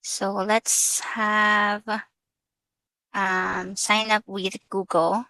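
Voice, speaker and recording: A Filipina woman with a Filipino accent talks slowly and hesitantly through an online tutorial, mixing English with Tagalog. Her voice is bright and forward, and it sounds close and dry, with no room around it. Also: medium pitch, level tone, creaky, no room sound, rough recording. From Philippines. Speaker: vlogger. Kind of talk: casual screen-share tutorial.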